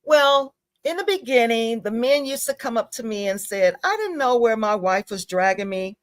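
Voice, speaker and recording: A middle-aged woman talking conversationally as an interview guest, emphatic and animated, often checking in with short tag questions. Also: on the high side, moderate pace, no room sound, studio quality. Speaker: talk show guest promoting events and a book.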